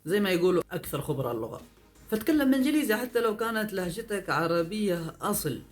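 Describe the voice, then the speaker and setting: A young man, giving a lively, emphatic vlog monologue full of rhetorical questions. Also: high voice, quick pace, crowd noise. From Yemen. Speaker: vlogger. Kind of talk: fast opinion monologue. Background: faint background music.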